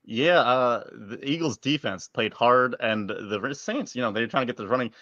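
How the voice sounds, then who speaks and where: A man's voice: mid-range voice, moderate pace, pushed and bright, no echo, dull audio. A man co-hosting a sports podcast, chatting casually with emphatic opinions and some swearing.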